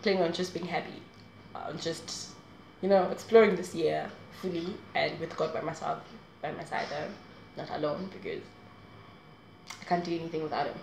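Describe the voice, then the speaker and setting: A woman's voice: low voice, fairly even intonation, moderate pace, creaky, darkish tone, echoey room, slight background noise, low-quality recording. A woman in her twenties talking straight to camera in a casual, rambling advice vlog, laughing often. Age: 20s. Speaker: vlogger. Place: small room indoors.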